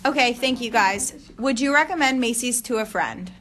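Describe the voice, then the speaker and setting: A woman's voice: fairly high pitch, normal speed, fairly bright tone. Young woman moderating a focus group. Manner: polite, hesitant with fillers. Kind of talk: student focus group questions.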